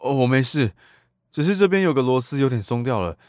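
A man's voice: mid-range voice, animated, brisk, darkish tone, dry recording, clean studio sound, lightly acted. A young actor playing a polite student neighbor who secretly spies on others, mild to people and scheming in his inner monologue. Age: young adult. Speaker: narrator and handyman neighbor. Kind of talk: radio drama acting.

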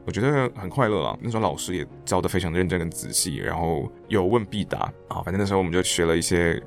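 A man with a Taiwanese Mandarin accent talks casually as an interview guest. His low voice swings in lively contours and moves quickly, like someone telling stories to a friend. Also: vocal fry, faint music behind. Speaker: interview guest, theatre director and voice actor. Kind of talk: casual conversational storytelling.

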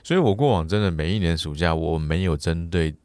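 Low-voiced man in casual podcast chat. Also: lively intonation, brisk, darkish tone, dry recording. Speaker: podcast co-host and father.

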